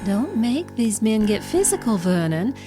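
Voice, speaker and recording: Over clearly heard music, a wronged wife out for vengeance. The woman speaks slowly with big swings in pitch, bitter, sarcastic and imperious in soap opera fashion. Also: very animated. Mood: imperious, vengeful. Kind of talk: soap opera audio drama acting. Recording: music behind.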